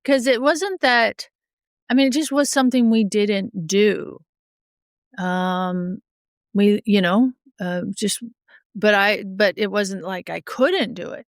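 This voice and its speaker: A woman's voice: mid-range voice, slow, dry recording, studio quality. A middle-aged woman raised in Australia, a judge telling her recovery story candidly in an interview, a little sniffly from a cold, with many restarts. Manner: frank, often laughs softly, many fillers and restarts. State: getting over a cold, a bit sniffly. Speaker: judge, podcast guest.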